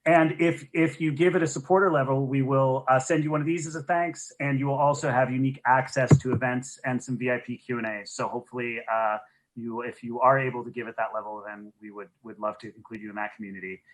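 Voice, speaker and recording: A man's voice: medium pitch, steady tone, quick pace, a little hiss or hum, poor audio. A man speaking as a program director, giving an informal welcome and housekeeping notes as co-host of an online event on a video call.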